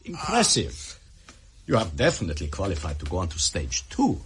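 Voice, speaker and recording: A man's voice: deep voice, huge pitch swings, normal speed, fairly bright tone, slightly rough, exaggerated voice. An actor playing a sadistic, coolly courteous Soviet doctor who lectures his victim with precise, menacing politeness in a radio drama.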